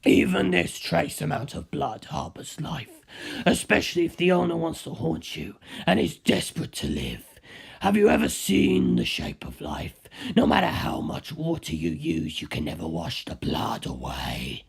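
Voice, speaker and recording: A man voicing an ancient superhuman villain in an audiobook, menacing and contemptuous, with a very deep, gravelly, husky voice and slow theatrical delivery. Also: huge pitch swings, exaggerated voice. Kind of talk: dramatized audiobook character voice.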